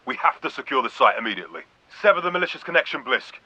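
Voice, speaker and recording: A man's voice: medium pitch, big pitch swings, rapid-fire, pushed and bright, echoey room, distant mic, dull audio. A man voicing a stern, middle-aged military vice admiral in a video game, giving clipped, formal orders with authority. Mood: urgent, demanding. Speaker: military commander.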